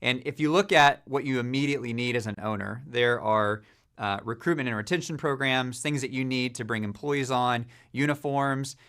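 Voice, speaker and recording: A man giving a steady, businesslike corporate explainer about a supplier program, with frequent fillers. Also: medium pitch, normal speed, clear, resonant tone. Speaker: company leader presenting a supplier program.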